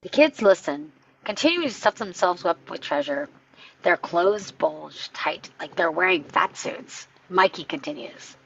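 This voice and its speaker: A woman with a slightly husky voice reading scripted stage directions as narration at a table read, in dull sound. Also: lowish voice, animated, a little slow, slight background noise.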